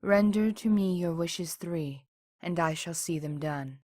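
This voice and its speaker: A woman's voice: lowish voice, measured pace, a little mellow, dry recording, studio quality. A woman voicing an earnest, servile female pony spirit who speaks in a formal, pleading way in a fan audio drama.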